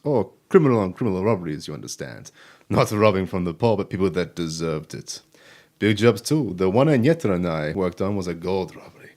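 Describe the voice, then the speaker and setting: A man's voice: on the low side, darkish tone, close to an everyday voice. A man playing a suave, jaunty elf rogue in an audio drama, speaking in a theatrical, flowery way.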